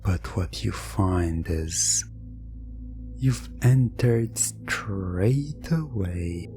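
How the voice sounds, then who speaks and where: A man with a deep, mellow voice narrates a hypnosis story over music. His delivery is extremely slow and drawn out, in a breathy ASMR manner that often sinks toward a whisper. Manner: slow, drawn-out second-person storytelling with frequent pauses. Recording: music under the voice. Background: background music.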